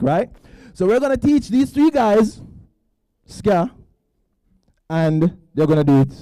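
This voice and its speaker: Host: energetic man, very lively pitch, warm darker tone, dry close sound, teases the crowd. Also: no echo, poor audio. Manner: playful, teasing, with local slang. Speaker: event host. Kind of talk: lively crowd hosting on stage. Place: stage at a student orientation event.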